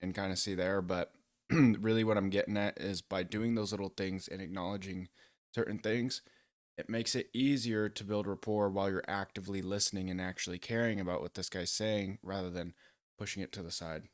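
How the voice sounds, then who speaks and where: A man hosting a review of a sales call, speaking in a measured, explanatory way. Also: low-pitched, fairly even intonation, no echo, studio quality. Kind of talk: commentary on a recording.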